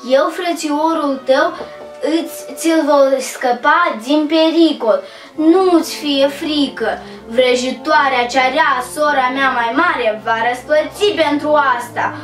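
A woman's voice: sits high, close to an everyday voice. Kindly good fairy, played by a woman, echoey. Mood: comforting. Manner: soothing, earnest, exclamatory. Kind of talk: children's fairy-tale drama. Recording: echoey, distant, music behind.

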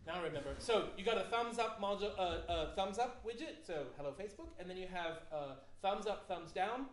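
A man's voice: high-pitched, lively intonation, a little fast. A man presenting an awards show on a theatre stage, chatty and playful, often correcting himself. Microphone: handheld mic, far camera. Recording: echoey hall, from far off.

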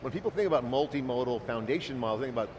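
Middle-aged man co-host, loose and riffing, clear crowd chatter behind him in a convention hall. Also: mid-range voice, expressive, rapid-fire. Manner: loose, riffing, repeats himself. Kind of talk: conversational interview. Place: large convention hall booth, indoors.

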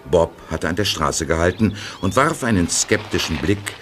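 A man narrating a radio play in a calm, measured way. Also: sits low, noisy background. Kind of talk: scripted narration.

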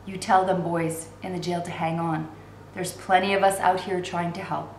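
A woman's voice: fairly low pitch, flat delivery, moderate pace, darkish tone, voice pushed down, natural voice. A woman voicing a friendly, talkative young male shopkeeper with emphatic delivery in a storybook reading. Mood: earnest. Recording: roomy echo with faint background noise.

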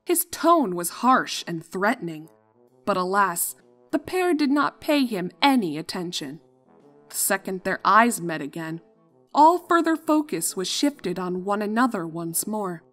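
A woman's voice: medium pitch, big pitch swings, a little slow, fairly bright tone. A woman reading scripted fiction as the narrator of a story. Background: background music.

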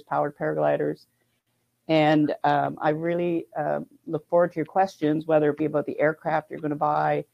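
A woman's voice: low voice, vocal fry, a little mellow. A middle-aged woman speaking informally as an instructor on an online panel. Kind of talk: informal self-introduction on a panel. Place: room at home, indoors. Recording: dull video call audio.

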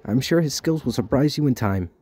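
A reassuring grown tomcat from a cartoon comic dub, acted by a man. The voice is mellow and husky, recorded close and dry. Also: medium pitch, animated, brisk, dry recording. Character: reassuring grown male warrior cat. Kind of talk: fan comic dub audition.

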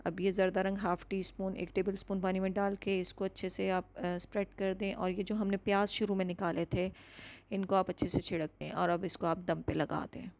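Female cooking host, mid-pitched, calm and measured, giving clear recipe instructions. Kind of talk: step-by-step recipe voice-over.

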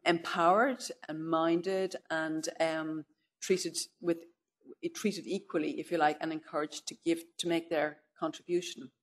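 A middle-aged woman giving a keynote lecture at a lectern, measured and thoughtful, often pausing with "if you like" and "um". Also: low-pitched. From Ireland. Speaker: keynote speaker. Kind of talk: lecture to an audience. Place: conference room, indoors. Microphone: far camera, speaking at a lectern.

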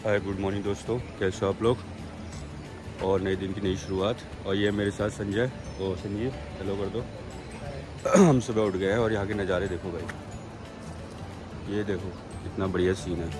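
A man's voice: low-pitched, steady tone, normal speed, long pauses, music in the background. A man in his forties chats casually as a travel vlogger, filming himself on a phone outdoors at a hillside camp and pointing things out to his viewers. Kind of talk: casual vlog narration. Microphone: phone camera selfie.

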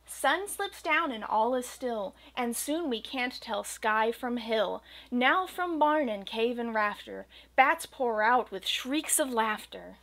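A young woman with a slightly high voice reads a rhyming picture book aloud. Her delivery is gentle and expressive, following the verse's bouncing rhythm. She is recorded close to the camera in a small room at home. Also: takes it slowly, hardly any pauses, slight background noise. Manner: gentle, expressive storytelling cadence. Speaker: children's book reader. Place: small home room with bookshelves and green screen.

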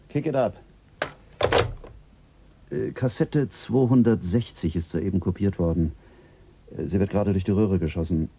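An actor playing an observatory officer taking a call, formal and businesslike in a radio drama. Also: male voice, on the low side. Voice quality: gravelly, businesslike. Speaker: observatory staff. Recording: noisy radio play recording.

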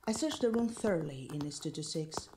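A woman's voice: deep voice, animated, fairly quick, darkish tone, a little hiss or hum. A woman playing a secretive underling who reports formally in an audio drama. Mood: businesslike. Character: secretive woman reporting to superiors at covert organization.

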